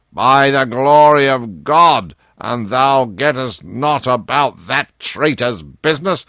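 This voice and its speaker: Man acting a frail, slow old king. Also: medium pitch, pushed and bright, dry recording, clean studio sound, pitched above the performer's own voice, heavily acted. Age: older. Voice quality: feeble, weighty. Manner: slow archaic royal speech, commanding. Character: frail old dying king, wrathful and sorrowful by turns.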